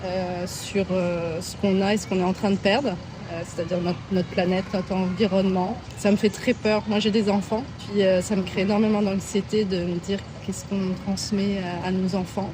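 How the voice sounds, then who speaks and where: A middle-aged woman from France, answering questions in a casual street interview in a park, thoughtful and hesitant, often laughing softly. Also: medium pitch, little pitch movement, normal speed. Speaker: interviewee, passer-by. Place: outdoor city park. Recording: outdoor park noise, traffic.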